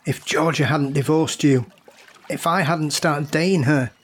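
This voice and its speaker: A man's voice: on the high side, measured pace, breathy edge. A man playing a grieving diver in an audio drama, blunt and full of guilt. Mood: tense.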